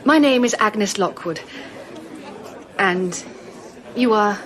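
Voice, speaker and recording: A young woman with an English accent playing a polite, gracious Victorian gentlewoman in a radio drama. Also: mid-range voice, lively intonation, slow, a faint crowd. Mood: polite, composed. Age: young adult. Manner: polite, well-spoken.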